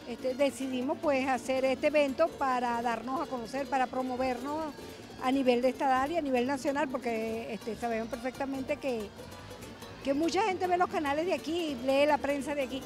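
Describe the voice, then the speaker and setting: A woman's voice: high voice, steady tone, normal speed, music in the background. A middle-aged woman with a Venezuelan accent, an artisan organiser, talking informally in an interview. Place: busy open-sided fair hall. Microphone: handheld interview microphone.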